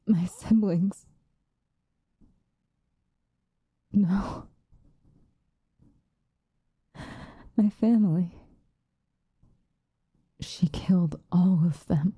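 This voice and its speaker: A woman playing a centuries-old female vampire, possessive and vengeful, in a soft, breathy, intense ASMR roleplay. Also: fairly low pitch, no room sound, clean studio sound. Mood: shocked, grieving. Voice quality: soft, breathy, often whispered. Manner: intense, dramatic, slow with long pauses.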